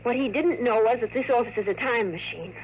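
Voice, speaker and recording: A woman's voice: a little high, a little fast, dull audio, close to an everyday voice. An actress playing an earnest woman agent in love, urgent and beseeching, in a 1950s radio drama. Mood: urgent explaining. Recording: noisy vintage radio recording.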